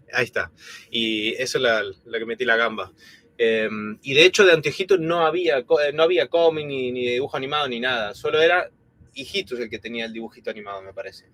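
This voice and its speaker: A man in his thirties from Argentina, his Argentine Spanish accent softened by years in Spain, chatting casually as co-host of a live stream with many fillers and restarts. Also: mid-range voice, fairly quick. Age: 30s.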